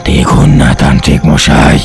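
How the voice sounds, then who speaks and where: A man voicing a stubborn, bickering goat ghost in a children's cartoon, very gravelly, slow and insistent. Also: a bass voice, level tone, exaggerated voice. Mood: accusing, worried. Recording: music under the voice.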